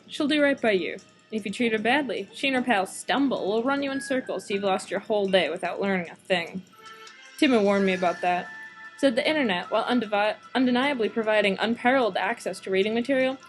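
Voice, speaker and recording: A woman's voice: mid-range voice, lightly acted. Woman playing a tough hard-boiled noir detective. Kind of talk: amateur noir radio drama acting.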